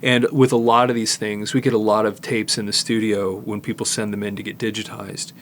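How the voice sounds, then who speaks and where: An older man giving a casual hands-on demonstration, explaining haltingly and thinking aloud as he works. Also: fairly low pitch, level tone, a little hiss or hum. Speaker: host, tape digitizing technician. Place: studio, indoors.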